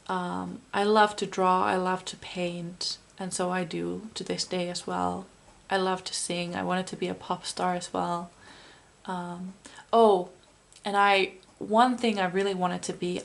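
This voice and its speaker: A woman's voice: on the low side, gravelly. A woman losing her voice, giving a chatty, meandering pick-a-card tarot reading straight to the viewer. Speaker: tarot reader.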